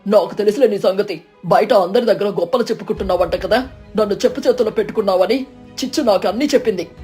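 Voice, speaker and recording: A blunt, hot-tempered young husband bird from a cartoon story played by a woman. The delivery is quick and impulsive in a mellow, mid-pitched voice, with faint music behind. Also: close to an everyday voice. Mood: angry, suspicious.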